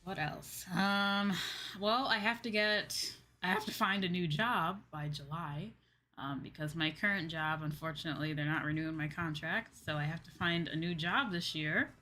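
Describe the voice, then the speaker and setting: A woman's voice: on the low side, normal speed, bright, forceful tone. A woman hosting a livestream as a VTuber, talking casually in a chatty, giggly way. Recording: background music behind voice. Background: music.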